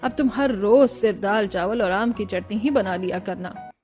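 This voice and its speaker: A woman voicing an older mother-in-law in a cartoon story, motherly, measured and gently commanding. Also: mid-range voice, faint music behind, voice pushed down, lightly acted. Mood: contented. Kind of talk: Hindi cartoon story dialogue.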